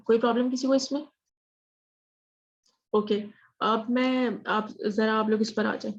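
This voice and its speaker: A female teacher leads an interactive lesson with little pitch movement. She keeps putting questions and checking that people follow, switching into English phrases. The audio is slightly dull and lo-fi. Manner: questioning, checks understanding often; mixes English phrases into speech. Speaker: statistics teacher. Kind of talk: interactive online math lesson.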